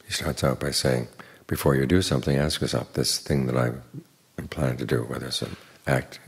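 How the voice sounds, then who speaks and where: An older man, a Buddhist monk, giving an evening dharma talk in an unhurried, plain teaching manner with dry humor. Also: fairly even intonation. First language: English. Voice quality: very deep gravelly voice.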